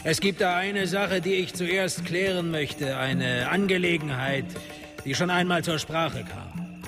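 An actor playing a sly, self-possessed conspirator in a fantasy radio drama, deliberate and needling in manner. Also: male voice, a little high, over music. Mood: measured. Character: sly, self-possessed male leader of a dark brotherhood.

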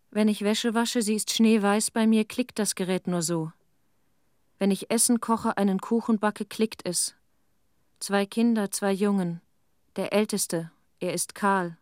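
A woman's voice: mid-range voice, no room sound, studio quality, lightly acted. An actress playing a sorrowful mother who bears witness to a disaster in plain, reflective short phrases in a radio play. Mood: quiet grief.

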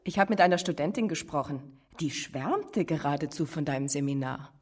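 A woman's voice: low-pitched, lively intonation, normal speed, husky, distant mic. A woman playing a friendly university colleague in casual radio drama dialogue. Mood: casual, tentative.

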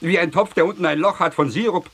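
Ein Schauspieler spielt in einem komischen Hörspiel einen gehorsamen, wortreichen, trocken besorgten Sklaven. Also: male voice, a little high, fast talker, fairly bright tone, husky. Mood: worried. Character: obedient, wryly worried male slave of a vintner. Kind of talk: comic stage-style radio drama dialogue. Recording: 1969 German radio play.

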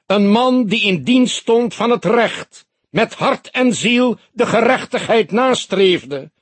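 A man voicing a pompous preacher in an audiobook, declaiming a funeral eulogy in a solemn, drawn-out, loudly raised voice. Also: sits high, animated, husky, dry recording, pitched above the performer's own voice, full character voice. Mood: solemn, stately.

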